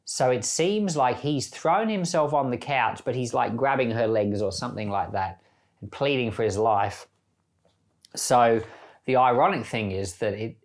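A middle-aged man who reads a Bible passage aloud, then talks it through in a relaxed way, thinking aloud with small fillers. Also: on the low side. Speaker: church Bible reader. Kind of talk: scripture reading and informal commentary.

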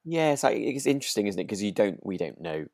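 A podcast co-host chatting in a relaxed, rambling way about a radio drama, often breaking off and rephrasing. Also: low-pitched, a little fast, no echo, clean studio sound.

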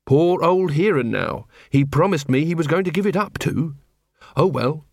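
A man voicing an earnest, polite young male constable in a storybook, calm and guileless. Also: on the high side, vocal fry, dry recording, studio quality. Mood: mildly regretful. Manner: polite, mild, unhurried.

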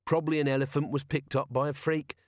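A man's voice: a little high, little pitch movement, quick pace, dry recording, clean studio sound, voice pushed up, lightly acted. Man as a sneering, muttering sceptic constable. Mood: sneering, irritable. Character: dour, irritable, sceptical male constable who debunks miracles.

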